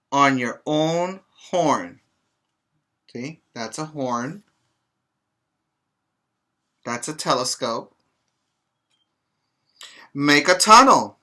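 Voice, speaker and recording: A man's voice: on the high side, sharp tone. A middle-aged man, a preschool teacher, reading a children's book aloud and explaining it to young children in an instructive way with check-in questions.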